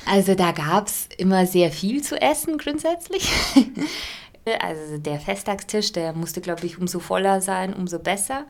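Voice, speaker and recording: Hesitant, low-voiced woman from Ukraine. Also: expressive, a little slow. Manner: thoughtful, hesitant, many fillers and restarts. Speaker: interviewee. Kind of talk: casual interview answers.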